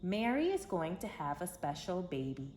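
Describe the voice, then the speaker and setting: A woman reading a children's story aloud in an expressive, emphatic storytelling manner. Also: lowish voice, moderate pace. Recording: roomy echo with background hiss.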